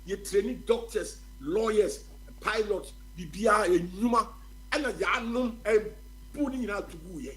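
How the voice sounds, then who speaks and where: A man's voice: sits high, normal speed, fairly bright tone, poor audio. A Ghanaian man in his fifties with a Ghanaian accent, talking casually on a radio discussion, mixing English and Twi, repeating and restarting his words.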